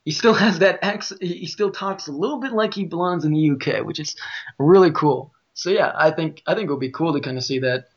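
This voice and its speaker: A man with a Midwestern American accent hosts a casual podcast chat. His voice sits a little higher than average. The recording is somewhat dull and lo-fi. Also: brisk. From Midwest United States. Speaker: podcast host.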